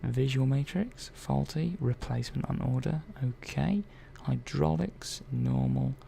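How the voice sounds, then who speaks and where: A man playing a curious, gently chatty robot repair technician in a soft-spoken ASMR roleplay, murmuring and thinking aloud in an unhurried, hesitant way. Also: mid-range voice, creaky. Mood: curious, puzzled. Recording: faint background noise.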